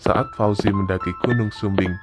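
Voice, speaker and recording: A man with a low voice narrates a scripted horror story. Clear background music plays under his voice. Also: fairly even intonation, normal speed.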